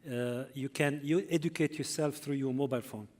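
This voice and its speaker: A hotel development executive on a panel, earnest and halting, often restarting his sentences. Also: mid-range voice, fairly even intonation, a little mellow. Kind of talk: panel answer. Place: conference hall.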